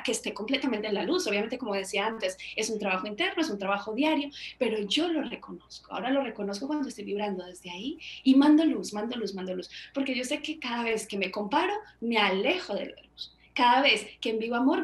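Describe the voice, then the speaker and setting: A woman in her thirties speaks earnestly and fairly high, talking with her hands. She is on a video call through earbuds from a small room at home, and the sound rings with room echo. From Colombia. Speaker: author and guest. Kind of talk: reflective interview answers. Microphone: wireless earbuds on a video call.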